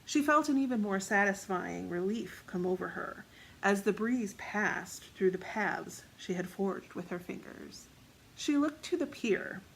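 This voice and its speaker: A woman's voice: mid-range voice, slight background noise, low-quality recording. A woman reading scripted fiction as the narrator of a story.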